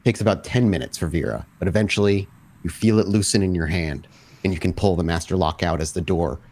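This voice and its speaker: Low-voiced man narrating a tabletop game. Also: a little fast, a little mellow. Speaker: game master of a vampire tabletop roleplaying podcast.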